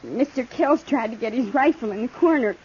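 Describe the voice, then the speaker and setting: Actress as halting, distraught wounded frontier girl, high-voiced. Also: animated, darkish tone, close to an everyday voice. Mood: grieving, bitter. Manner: halting, broken phrases. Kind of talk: 1950s radio western acting. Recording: muffled, hissy 1950s radio recording.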